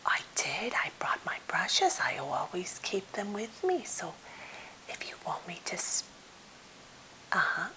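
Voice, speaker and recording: A woman playing an anxious, well-meaning, slightly odd therapist held captive, in a breathy whisper with a halting, fussily polite manner, for an ASMR role play. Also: mid-range voice, big pitch swings, bright, forceful tone, heavily acted. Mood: hesitant, polite. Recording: hissy, noisy home recording.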